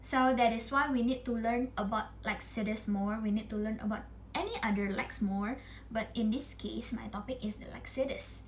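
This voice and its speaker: A young woman talks through a class assignment in a loose, conversational way. Her voice sits a little high, and she restarts her sentences often. Faint noise hums under the recording. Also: fairly even intonation, normal speed. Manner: casual, restarts often, many fillers like "like" and "lah". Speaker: law student presenting an assignment. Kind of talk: informal explanatory class presentation.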